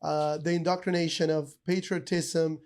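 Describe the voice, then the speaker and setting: Guy from ecuador on a podcast, talks high and lively, lots of bro and dude. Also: moderate pace. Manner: animated storyteller, says bro and dude a lot. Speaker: podcast guest. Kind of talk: casual podcast conversation.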